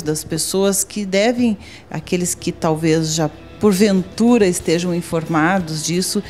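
A woman's voice: medium pitch, darkish tone. A woman in her forties with a Brazilian accent, hosting a TV interview in an office, chatty and leading questions with a handheld mic. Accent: Brazilian Portuguese. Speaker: TV host. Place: office meeting room.

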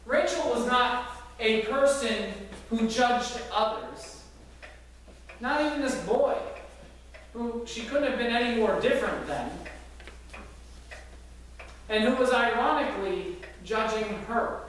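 A man's voice: very high-pitched, lively intonation, slow pace, pauses often, a bit bright, very echoey, slight background noise. A man preaching a sermon in a church, telling a story earnestly with some fillers, recorded from far back in the church. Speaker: pastor. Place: church sanctuary with congregation. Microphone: far camera at back of church.

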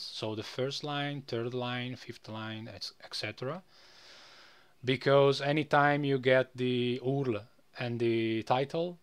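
A man presenting a casual, unscripted software tutorial in a rambling way, often tagging phrases with "you know". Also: medium pitch.

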